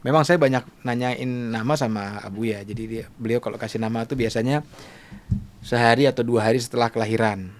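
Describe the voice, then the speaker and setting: A man's voice: mid-range voice, steady tone, fairly quick. A middle-aged man hosting a casual interview, chatting informally and checking in with tag words. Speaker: podcast host.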